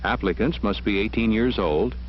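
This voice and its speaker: A man's voice: fairly low pitch, normal speed, a little hiss or hum. A man reading scripted narration for an educational film. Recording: muffled old film soundtrack with music. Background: music.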